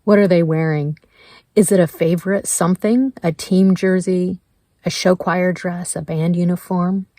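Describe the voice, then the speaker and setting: A woman leading a guided meditation in a gentle, measured way, leaving pauses between her prompts. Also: on the low side, fairly even intonation, no echo, studio quality. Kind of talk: slow guided meditation narration.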